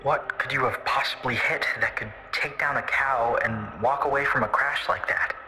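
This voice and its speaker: An actor playing a guest on a radio call-in show who talks earnestly and tries to calm callers. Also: male voice, medium pitch, normal speed, echoey room, big-room sound, very noisy, lightly acted. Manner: earnest, calming.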